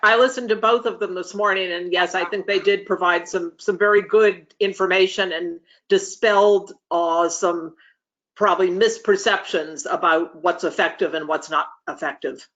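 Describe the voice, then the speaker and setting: A woman giving a policy briefing in a measured, formal, explanatory way. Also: lowish voice, fairly even intonation, a bit bright. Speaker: policy presenter. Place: online video meeting. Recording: dull lo-fi video call audio.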